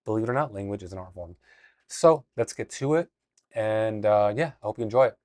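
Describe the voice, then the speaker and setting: A man teaching a casual Spanish lesson in a relaxed, explanatory way, often restarting his sentences. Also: on the low side, fairly quick, dry recording, clean studio sound.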